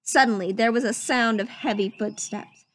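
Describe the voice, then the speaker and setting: A woman reading a children's story aloud as narrator, with expressive, dramatic storytelling. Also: a little high, normal speed. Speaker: storybook reader.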